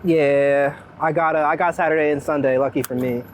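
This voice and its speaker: A man's voice: fairly high pitch, steady tone, brisk. A man talking casually and reflectively as a street photographer while out walking in a city, often breaking off mid-thought. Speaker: street photographer being interviewed. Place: outdoors on a busy city street. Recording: outdoor city street noise, traffic.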